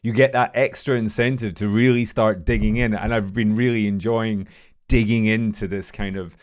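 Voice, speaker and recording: A man's voice: fairly low pitch, steady tone, moderate pace. A man interviewing a guest as a podcast host in a casual, conversational style.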